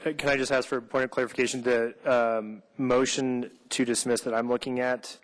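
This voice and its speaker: A board member speaks in an even, flat tone and asks careful questions about the paperwork. He talks in plain formal meeting language. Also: medium pitch, brisk, poor audio. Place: city licensing hearing room.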